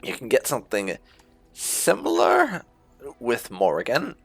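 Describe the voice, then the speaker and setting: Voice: mid-range voice, wildly swooping pitch, a little slow, creaky, breathy edge, soft background music. A video game streamer giving casual, rambling let's-play commentary with wry asides.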